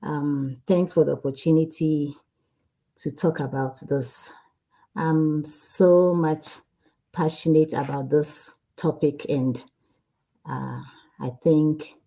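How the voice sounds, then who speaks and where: Low, husky woman from Ghana. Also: poor audio. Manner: relaxed, laughs often, repeats words. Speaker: guest, cardiac sonographer. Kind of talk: casual interview.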